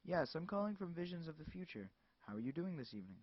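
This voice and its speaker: A man playing a calm, smug and menacing male caller who speaks politely, slowly and as if smiling. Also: on the high side, dry recording, voice pushed up. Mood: calm menace. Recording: dull lo-fi dry recording.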